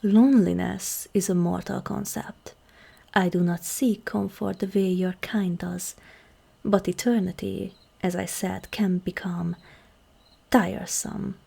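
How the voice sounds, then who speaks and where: Husky, slow demon queen, acted by a woman. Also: medium pitch, steady tone, heavily acted. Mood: languid, intrigued. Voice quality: husky, breathy, creaky. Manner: measured, commanding, deliberate, often breaking into whispery softness. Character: regal, composed, seductive ancient demon queen. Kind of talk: ASMR roleplay, scripted monologue to the listener.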